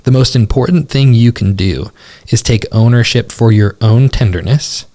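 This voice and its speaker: A young adult man co-hosting a relationship podcast, talking thoughtfully with halting restarts. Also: medium pitch, warm tone. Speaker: podcast co-host. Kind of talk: casual podcast chat about relationships.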